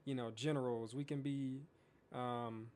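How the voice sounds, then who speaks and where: A man's voice: medium pitch, fairly even intonation, measured pace, a little mellow. An easygoing man co-hosting a podcast in casual chat, often tossing in "man" and "you know". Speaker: podcast co-host.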